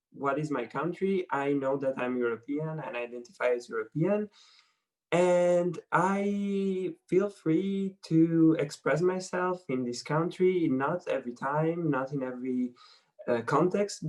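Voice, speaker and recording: A teenage man from Italy, speaking hesitantly and thoughtfully in a casual video call chat. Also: fairly high pitch. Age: teens.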